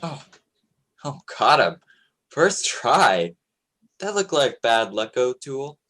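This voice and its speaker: A man's voice: mid-range voice, very animated, takes it slowly, fairly bright tone. Man playing an earnest young sea captain. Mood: modest, playful. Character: earnest, confident twenty-year-old New England sea captain. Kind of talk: old-time radio play acting, narrating his log.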